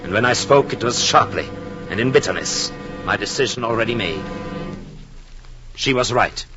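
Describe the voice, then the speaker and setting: An actor playing an earnest, brooding European husband and expectant father who narrates his journal in solemn, literary, measured 1940s radio drama style. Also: on the low side, animated, lo-fi sound, natural voice. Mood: bitter, forlorn. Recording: old 1940s radio, music behind.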